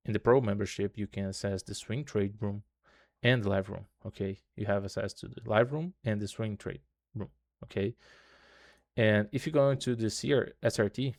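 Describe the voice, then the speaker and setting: A man with a low voice, Portuguese being his first language, guides viewers through a screen share in loose, repetitive English. His delivery stays flat and even. The sound is dry and close. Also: creaky, no echo. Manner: loose repetitive tutorial talk, constant "okay" and "right" tags. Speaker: trading channel host. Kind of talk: casual screen-share walkthrough.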